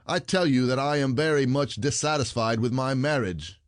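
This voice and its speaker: A man's voice: medium pitch, moderate pace, no room sound, close to an everyday voice. Guy doing a fed up sarcastic husband, middle voice, complaining, audio play, dry sound. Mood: exasperated. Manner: bitter, complaining, stagy. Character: aggrieved, sarcastic husband, rich commoner. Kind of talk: theatrical classic comedy audio play.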